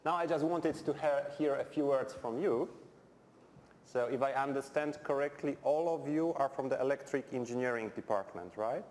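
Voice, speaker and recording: Blunt Polish-accented lecturer in an echoey hall. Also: male voice, medium pitch, moderate pace, darkish tone. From Poland. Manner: blunt, direct, dry humour, simple phrasing. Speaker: chemistry lecturer. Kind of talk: university lecture. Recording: echoey lecture hall, faint background noise.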